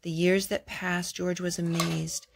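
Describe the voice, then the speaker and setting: A woman hosting a children's storytime, reading aloud in an expressive storytelling manner. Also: fairly low pitch, unhurried, vocal fry, a little hiss or hum.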